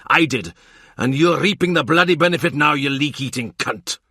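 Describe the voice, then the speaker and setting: A man reading a novel aloud, voicing a boastful, loud Welsh innkeeper who blusters and shouts. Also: fairly high pitch, normal speed, breathy edge, no echo, studio quality, voice pushed up, exaggerated voice. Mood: angry.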